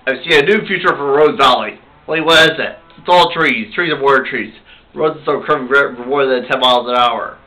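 A man improvising amateur puppet show dialogue as the puppeteer, with a halting, rambling delivery. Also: mid-range voice, bright, forceful tone, rough recording. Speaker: puppeteer voicing all the characters.

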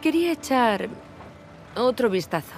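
A woman's voice: fairly high pitch, big pitch swings, unhurried, soft background music. A woman voicing a composed, assured wife in a dubbed cinematic game. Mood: calm, pleased. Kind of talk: Spanish dub of cinematic game drama.

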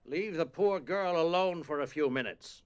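A man's voice: on the high side, normal speed, smooth, close to an everyday voice. A man playing a stern, stuffy school principal with a pompous, formal manner in an audio drama.

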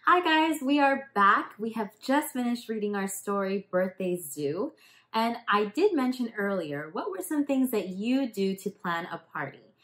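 A young adult woman talking casually and directly to children as a teacher hosting story time, in an upbeat, animated way. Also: on the high side, unhurried, reverberant, rough recording. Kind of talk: casual talk to children about a picture book. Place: small indoor room in front of a hanging tapestry.

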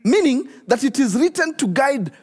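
Pastor, middle-aged man, animated direct-address sermon, reverberant hall sound. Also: very high-pitched, moderate pace. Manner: animated, addresses viewers directly, repeats key questions. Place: church stage studio, indoors.

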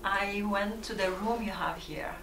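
A woman interviewing a musician, asking questions in a gentle, low-key way. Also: measured pace, distant mic, over loud music. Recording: roomy, from off-mic.

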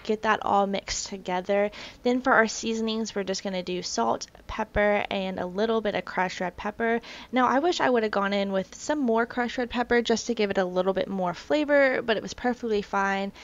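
A woman's voice: on the high side, normal speed, dull audio. A woman in her thirties narrating a cooking video in a casual, self-deprecating way. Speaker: cooking vlogger. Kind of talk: recipe voice-over narration.

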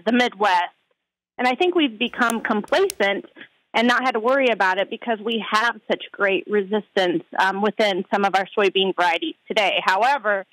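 A woman agronomist answering interview questions with frequent pauses and fillers. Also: on the high side, fairly bright tone, dry recording, low-quality recording. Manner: hesitant, many fillers. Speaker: outreach agronomist guest. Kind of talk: interview by phone. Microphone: phone line.

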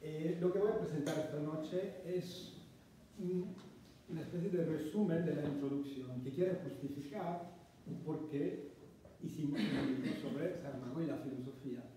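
A man from Italy giving an academic lecture in Spanish, measured and reading from notes, recorded from far off in a small lecture room. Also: on the high side, mellow, very echoey, a little hiss or hum. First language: Italian. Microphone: far camera.

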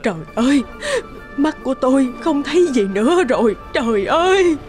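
A woman playing a frightened, greedy middle-aged village woman in an animated fairy tale, stammering and pleading. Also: high voice, moderate pace, faint music behind. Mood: wailing.

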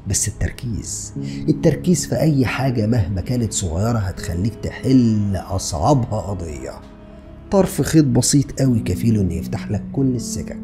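A man's voice: lowish voice, expressive, a little fast, mellow, faint music behind. A man in his thirties with an Egyptian Arabic accent, narrating a true crime story and asking the listener rhetorical questions. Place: small dark studio indoors. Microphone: podcast mic on stand in front.

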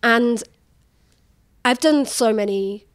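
A woman's voice: on the high side, takes it slowly. A woman journalist in her thirties, talking candidly in an interview in a forthright, emphatic way and filling her speech with "like". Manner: forthright, emphatic, uses many "like" fillers. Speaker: journalist guest.

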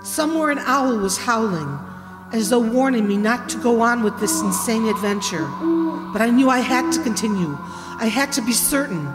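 An older woman playing a frightened, distraught wife in a radio mystery, halting and dramatic. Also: fairly high pitch, lively intonation, close to an everyday voice. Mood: dread. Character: frightened, distraught wife narrating a horror tale. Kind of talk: old-time radio mystery acting. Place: stage in a hall, live reading. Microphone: stand microphone. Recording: echoey hall stage performance, music behind.

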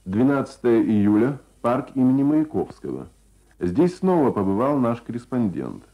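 Lively male announcer, narrow phone-like sound. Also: medium pitch, normal speed. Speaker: radio announcer. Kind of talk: scripted announcing and letter reading. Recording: narrow-band old radio, music behind.

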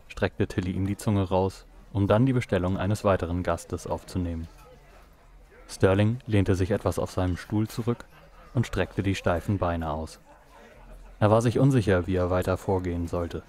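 A man's voice: fairly low pitch, darkish tone. A man reading scripted narration for a noir audio drama.

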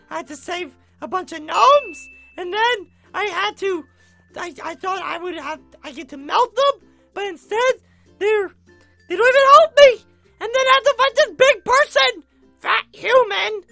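An animatronic ballerina with an extremely high, bright voice. She is whiny, excitable and flustered, drawing her words out at an unhurried pace in a Minecraft roleplay video. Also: creaky, no echo, faint music behind, heavily acted. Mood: exasperated. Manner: exclamatory, dramatic, flustered. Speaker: video channel character host.

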